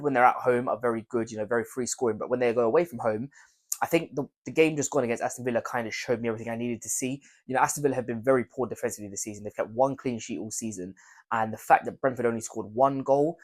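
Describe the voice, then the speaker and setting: A young man with a croaky voice from a cold, chatting casually to his viewers about fantasy football picks and rambling through his reasoning. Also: medium pitch. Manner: rambling, repeats words, hedges with "I think". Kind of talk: casual video commentary.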